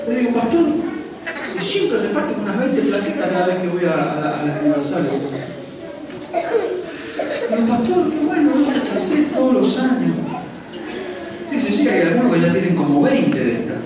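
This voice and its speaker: A man's voice: high-pitched, big pitch swings, moderate pace, pauses often, very noisy. A man preaching a fiery sermon, firing rhetorical questions and repeating lines for emphasis. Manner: rhetorical questions, shouting and repetition. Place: large church hall with congregation. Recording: echoey hall with distant mic and crowd.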